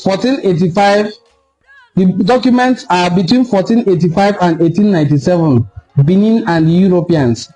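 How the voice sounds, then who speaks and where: A Nigerian man giving an impassioned solo commentary, lecturing forcefully and repeating points for emphasis. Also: high-pitched, normal speed, soft background music, rough recording. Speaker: online cultural commentator.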